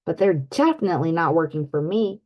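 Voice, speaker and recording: A woman voicing a small, timid but determined male piglet in a storybook reading, soft and earnest. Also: deep voice, sing-song, brisk, dull audio, voice pushed down.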